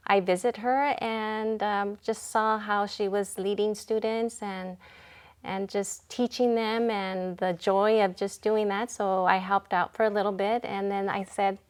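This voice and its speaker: A woman in her forties, a school principal, talking in a relaxed interview in a reflective, unhurried way with many ums and pauses. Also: mid-range voice. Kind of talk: casual interview talk.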